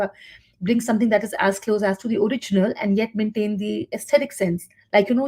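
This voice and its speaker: Woman, middle-aged, mellow, husky, thoughtful, halting. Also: medium pitch. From India. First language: Hindi. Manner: thoughtful, with many fillers and restarts. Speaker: guest writer and translator. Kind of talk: conversational interview answers.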